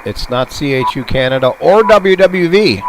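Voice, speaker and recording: A man's voice: on the high side, lively intonation, normal speed, noisy background. A man chatting casually and muttering playful asides to himself as he tunes around as a radio hobbyist. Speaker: radio hobbyist showing shortwave listening. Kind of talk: casual rambling chat while tuning. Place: apartment room. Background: shortwave radio playing.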